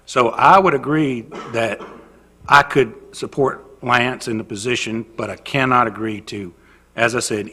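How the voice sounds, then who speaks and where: Halting man council member, bright voice. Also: mid-range voice. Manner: halting, many restarts and fillers, then firm. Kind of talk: formal remarks at a council meeting. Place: city council chamber. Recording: long reverb of a council chamber.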